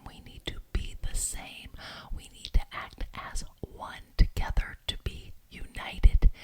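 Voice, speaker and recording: A woman playing a gracious but bossy witch who chairs a coven meeting in an ASMR roleplay, speaking deliberately and repeating words for emphasis. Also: close to an everyday voice.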